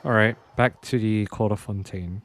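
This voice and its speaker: A woman's voice: rumbling low, fairly even intonation, moderate pace, dry recording. A woman streaming a video game with casual commentary, reacting briefly and reading some lines aloud. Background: game music.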